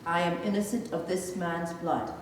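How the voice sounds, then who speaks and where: A woman's voice: lowish voice, moderate pace. A woman reading character parts in a scripted, dramatised Bible reading in a large church. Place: large reverberant church, read aloud. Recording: echoey church hall, distant, faint noise.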